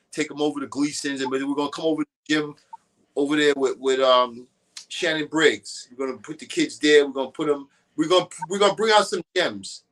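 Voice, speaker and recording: A man's voice: a little high, fairly bright tone, rough recording. A man as a guest on a boxing talk show, giving loose and opinionated casual talk.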